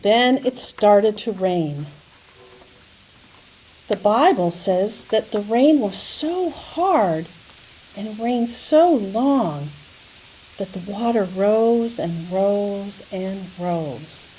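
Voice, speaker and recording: A woman telling a Bible story to young children, explaining in simple phrases with dramatic pauses and emphasis. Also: medium pitch, animated, dark tone, slight background noise, low-quality recording.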